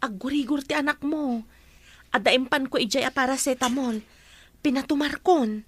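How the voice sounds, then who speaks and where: An actress playing a sympathetic, helpful neighbour in a radio drama, with an Ilocano Filipino accent. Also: female voice, high voice, normal speed, a little hiss or hum, close to an everyday voice. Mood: concerned.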